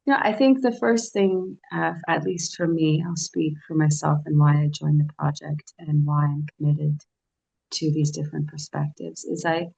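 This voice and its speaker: A woman with a very deep, gravelly voice speaks on a panel, measured and reflective and hesitant, with many fillers. The recording sounds dull and lo-fi. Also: steady tone, a little mellow. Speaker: editor and panelist. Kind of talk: panel discussion.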